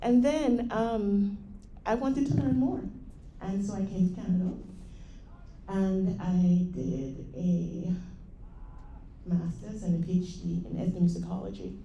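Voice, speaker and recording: A woman's voice: mid-range voice, steady tone, measured pace, vocal fry, warm tone, a little hiss or hum. A middle-aged woman from Jamaica with a Jamaican accent, giving a conversational conference panel talk with fillers. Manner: conversational, with fillers and small laughs. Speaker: panel speaker, assistant professor. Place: conference room. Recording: dull roomy hall sound.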